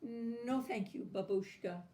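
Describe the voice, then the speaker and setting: A worn-out little girl with a soft, pleading voice, as a woman reads her lines in a storybook. The voice sits a bit high, mellow and unhurried, with faint hiss behind it. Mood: relieved. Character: tired, gentle little girl.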